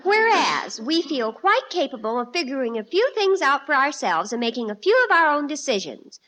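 An actress playing a spirited teenage daughter in a 1950s radio sitcom, declaiming in a mock-official, giggly way. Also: female voice, high voice, big pitch swings, normal speed, noisy background. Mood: mock-solemn. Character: spirited teenage daughter leading a family revolt.

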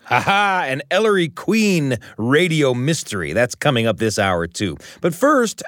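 A man's voice: mid-range voice, no room sound. A man hosting a radio show from a studio, giving a scripted but conversational introduction with emphatic, storyteller-like phrasing.

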